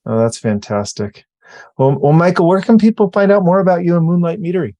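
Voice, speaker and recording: A man hosting a podcast interview, upbeat and quick with short agreeing replies. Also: high-pitched, animated, dark tone, no echo, dull audio. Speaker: podcast host.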